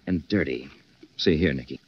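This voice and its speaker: An actor playing a clever, composed amateur detective in a 1940s radio mystery. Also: male voice, extremely low pitch, normal speed, breathy edge. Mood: thoughtful. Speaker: lead actor and host of a radio mystery series. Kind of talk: 1940s radio drama acting. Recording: noisy, muffled 1940s radio recording.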